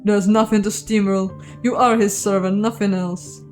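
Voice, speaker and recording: A woman voicing a domineering inner voice in a video game, deliberate and commanding, demanding servile obedience. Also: medium pitch, steady tone, a little mellow, soft background music, natural voice. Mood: stern, demanding. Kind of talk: video game character reading.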